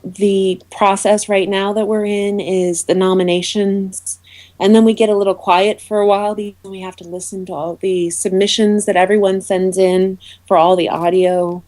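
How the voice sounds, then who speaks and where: A woman speaking as an interview guest, explaining things informally in a halting way with many ums. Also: mid-range voice, level tone, darkish tone. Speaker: interview guest, awards organiser.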